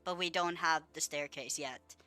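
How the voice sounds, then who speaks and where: Bright-voiced young woman, a bit sick. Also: deep voice, moderate pace. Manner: chatty and rambling, lots of fillers, swears casually. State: a little sick. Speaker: gaming video creator. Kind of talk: casual gameplay commentary.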